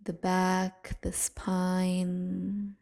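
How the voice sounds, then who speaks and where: A woman leading a guided sleep meditation in a hushed, soothing, softly breathy way, leaving long pauses. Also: fairly low pitch, monotone.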